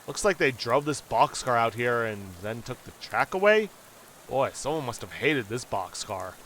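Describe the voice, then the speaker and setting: A man's voice: medium pitch, animated, normal speed, a bit bright, slight background noise, poor audio. A man hosting a let's play, casually and wryly commenting and reading game text aloud.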